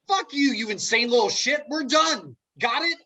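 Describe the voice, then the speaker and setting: A woman's voice: on the high side, animated, normal speed, a bit bright, husky. A woman playing an exasperated, argumentative young man, indignant and sarcastic, in a comic script reading. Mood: angry. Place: remote video call.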